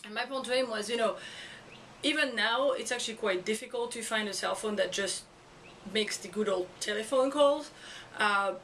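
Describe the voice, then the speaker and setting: A woman with a French accent talks to her camera in a loose, halting way, full of fillers and restarts. Her voice sits a little high. She is a few steps back from the camera mic, with a faint hiss behind her. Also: fairly bright tone, recorded from a distance. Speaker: school educator and video blogger. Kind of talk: casual vlog talk to camera. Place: outdoors or home setting in Florida.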